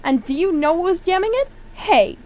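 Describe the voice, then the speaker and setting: A woman's voice: very high voice, animated, a little fast, a little mellow, pitched above the performer's own voice. A woman voicing a firm, complaining fairground manager who explains things apologetically in a storybook reading. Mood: firm, exasperated.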